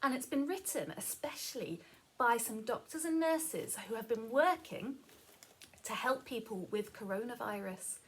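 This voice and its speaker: A woman with a high, slightly husky voice reads a story to young children. Her pitch swings widely in a sing-song, expressive way. She explains things as a teacher would and keeps prompting her listeners with questions. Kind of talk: animated children's story read-aloud with explanations.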